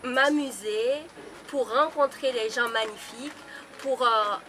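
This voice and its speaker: A woman's voice: fairly high pitch, slow, sharp tone, slight background noise. A woman in her twenties from Burkina Faso, a pageant contestant introducing herself with frequent hesitations and restarts. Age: 20s. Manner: hesitant, many fillers and restarts. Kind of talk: spoken self-introduction.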